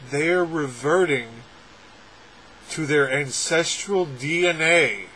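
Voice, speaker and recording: Sarcastic young guy griping on his webcam at home, bright forceful voice, drawn out, a bit of room hiss. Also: on the high side, takes it slowly, rough recording. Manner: sarcastic, animated, exaggerated outbursts. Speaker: movie reviewer. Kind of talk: casual ranting film review. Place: home room with packed shelves, indoors. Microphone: webcam framing at desk.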